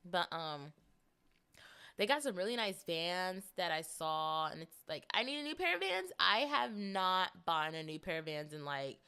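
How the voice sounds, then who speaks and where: A young woman in her twenties hosting a casual solo podcast, chatting and rambling with animated asides, a little congested. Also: on the low side, a little slow, clean tone. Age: 20s. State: slight congestion. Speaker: podcast host.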